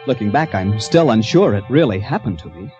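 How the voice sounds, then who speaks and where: An actor with an American accent playing an infatuated adventurer in an old-time radio drama, earnest and halting. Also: male voice, lowish voice, animated, mellow, dull audio, soft background music, lightly acted. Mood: dreamy, haunted. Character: infatuated ship's captain turned brawler, recalling his wild adventure. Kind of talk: old-time radio drama acting with first-person narration.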